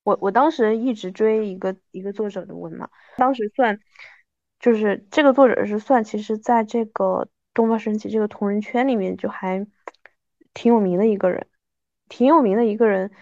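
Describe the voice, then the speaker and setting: Female podcast guest, thirties, mid-pitched, animated and self-mocking casual chat, slightly muffled sound. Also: moderate pace, dry recording. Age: 30s.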